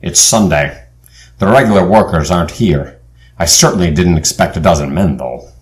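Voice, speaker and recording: An actor playing a decisive, steady team leader in an audio adventure drama, measured and formal. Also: male voice, deep voice, natural voice. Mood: focused.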